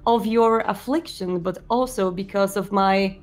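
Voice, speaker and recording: A woman playing a composed, commanding male vampire prince in a tabletop game, speaking in a measured, formal way. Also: mid-range voice, natural voice. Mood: solemn, firm.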